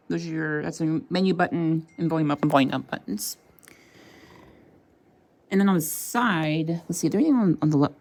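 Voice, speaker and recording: A woman giving a casual tech review as a vlog host, talking in a hesitant, rambling way. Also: low voice. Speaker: product reviewer and channel host.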